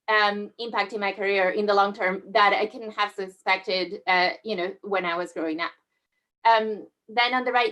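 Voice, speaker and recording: A middle-aged woman from southern Spain giving a friendly, measured career talk over slides in an online session, with frequent fillers. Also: medium pitch, fairly bright tone. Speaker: guest speaker. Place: online video call.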